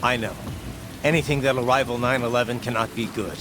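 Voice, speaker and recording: A man's voice: medium pitch, steady tone, brisk, noisy background, close to an everyday voice. An actor playing a terse male cyborg mercenary who speaks in clipped, decisive commands. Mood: determined. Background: music.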